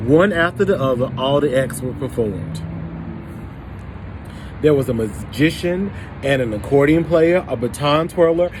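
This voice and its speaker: A man with a slightly high voice reads a children's picture book aloud. He stops to prompt young listeners with questions. There is some ambient noise behind him. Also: expressive, a little slow. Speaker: storybook reader for children.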